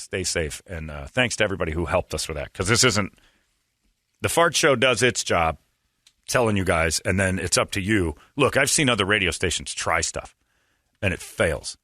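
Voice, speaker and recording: A man's voice: low-pitched, sharp tone, no room sound, clean studio sound. A man hosting a morning radio show, talking in a loose, rambling way and laughing often. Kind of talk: casual morning radio talk. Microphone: studio microphone.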